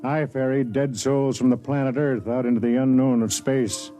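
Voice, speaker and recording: An actor playing a weary, kindly middle-aged overseer of a space waystation in a 1950s radio drama, measured and reflective. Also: male voice, mid-range voice, little pitch movement, warm tone, natural voice. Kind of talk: 1950s radio drama acting, first-person narration. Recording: 1950s radio broadcast with music.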